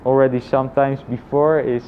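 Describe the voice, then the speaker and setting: A man thinking aloud in a casual, reflective personal vlog, with halting phrasing and frequent repetition. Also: mid-range voice, little pitch movement, muffled recording, a little hiss or hum. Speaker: vlogger.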